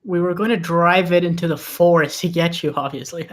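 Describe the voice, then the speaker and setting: Hesitant, pleading sidekick, played by a woman, slightly low female voice, eager to get away, comedy table read. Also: steady tone, fairly quick, natural voice. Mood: sheepish. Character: hesitant, evasive male sidekick, eager to flee.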